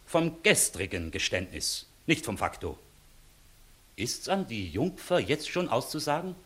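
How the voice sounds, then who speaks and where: Husky, stern senior official. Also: male voice, medium pitch, sing-song, measured pace, a bit bright, full character voice. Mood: stern reproof. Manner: formal, reproving, authoritative. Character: stern, exasperated visiting court official of rank. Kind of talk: classic German stage comedy radio acting.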